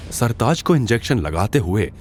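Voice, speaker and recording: A man's voice: on the low side, big pitch swings, moderate pace, slight background noise. A man narrating a dramatic scripted audio drama series as its storyteller.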